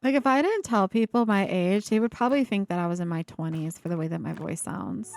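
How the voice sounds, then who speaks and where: Woman streamer, mid-pitched, casual and chatty, breathy giggles, home desk mic. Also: a little fast, darkish tone, soft background music. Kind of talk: casual live-stream chat.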